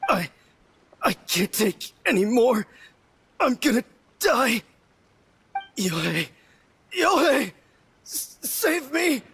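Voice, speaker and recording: A man playing a crazed, melodramatic man obsessed with manliness in a video game, theatrical and halting. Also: extremely high pitch, big pitch swings, vocal fry, fairly bright tone, full character voice. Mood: desperate wailing. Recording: noisy background.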